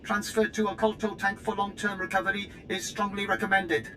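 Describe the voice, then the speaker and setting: A woman's voice: medium pitch, steady tone, brisk, over music, natural voice. A woman voicing a clinical, obedient medical droid in a video game, with formal and precise delivery.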